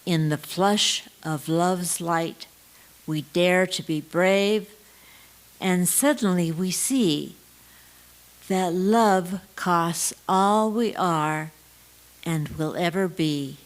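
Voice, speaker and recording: Female poetry reader, low-leaning register, extremely slow, deliberate phrase-by-phrase delivery. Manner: deliberate, pausing between short phrases. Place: church service.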